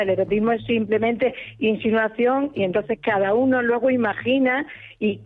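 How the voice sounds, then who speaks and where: Reflective female writer, light voice, phoned in. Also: a little high. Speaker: author, guest. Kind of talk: phone interview. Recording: phone line.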